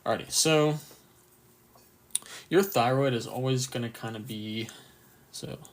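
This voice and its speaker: A man with a croaky, just-woken-up voice runs a casual live quiz for anatomy students, reading answer options and cheering on viewers' replies. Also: mid-range voice, unhurried, a little hiss or hum. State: just woke up, a bit tired. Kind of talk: casual livestream quiz review.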